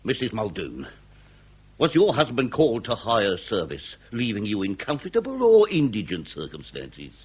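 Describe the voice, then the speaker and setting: Pompous, swooping Victorian police inspector. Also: male voice, on the low side, wildly swooping pitch, moderate pace, husky, heavily acted. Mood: officious questioning. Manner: florid formal speech, officious, easily exasperated. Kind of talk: comic period radio drama.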